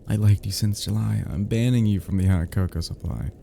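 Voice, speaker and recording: Man acting as flirty sweet best friend guy, very dark soft voice w/ gravelly fry, intimate romance audio. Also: on the low side, slight background noise. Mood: shy, teasing. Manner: soft, breathy, teasing murmur with hushed pauses.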